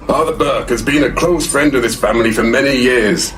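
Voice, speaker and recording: A man's voice: medium pitch, a little fast, crowd noise, close to an everyday voice. Man playing an anxious old grandfather. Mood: worried. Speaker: actor in an audio comedy drama. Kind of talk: audio play dialogue.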